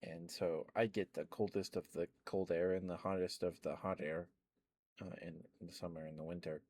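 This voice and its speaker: A man chatting in a soft, rambling ASMR style, close to the mic, with many fillers and small laughs. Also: low-pitched, little pitch movement, normal speed, dry recording. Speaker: ASMR streamer.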